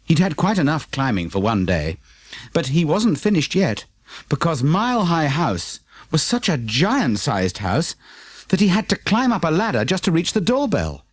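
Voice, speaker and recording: Male storyteller, British accent, slightly high voice, very varied intonation, children's audiobook, dry studio sound. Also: moderate pace, dry recording. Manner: expressive storytelling. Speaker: audiobook narrator. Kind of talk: scripted children's story narration.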